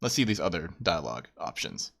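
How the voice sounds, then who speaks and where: A man's voice: low-pitched, big pitch swings, brisk, vocal fry, a bit bright. A man streaming a game, reading aloud and giving casual commentary.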